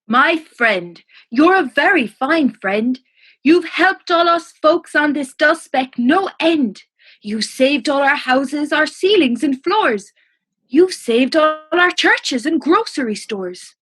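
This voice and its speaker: A woman's voice: sits high, animated, slow, a bit bright, exaggerated voice. A woman playing a tiny, grateful, friendly mayor in a children's storybook, speaking earnestly and politely in rhyme. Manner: earnest, polite rhyming speech. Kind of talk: children's storybook read-aloud.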